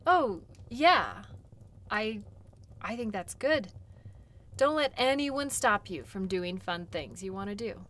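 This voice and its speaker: Woman acting a slow-paced, caring sled guide. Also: medium pitch, animated. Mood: friendly, encouraging. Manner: gentle, reassuring, conversational with pauses. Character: caring, chatty female dog-sled guide tending wounds. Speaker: audio role-play performer. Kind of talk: intimate audio role play, one-sided conversation.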